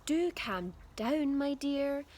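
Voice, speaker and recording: A woman's voice: high-pitched, lively intonation, slow, a little mellow, a little hiss or hum, voice pushed up. A woman voicing a sensible wife and mother in a children's storybook, firmly chiding. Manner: chiding, firm.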